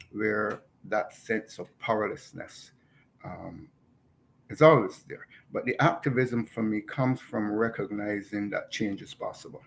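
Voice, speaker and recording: A man, giving thoughtful, measured personal testimony as an activist on a panel. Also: fairly low pitch, creaky, slight background noise, low-quality recording. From Barbados. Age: 70s.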